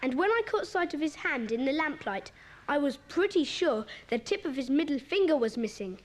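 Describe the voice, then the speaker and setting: High, animated, earnest schoolboy. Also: female voice, moderate pace, a little hiss or hum. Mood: keen, commanding. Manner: earnest, take-charge. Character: sensible bossy schoolboy, leader of a children's club. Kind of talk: children's audio dramatisation acting.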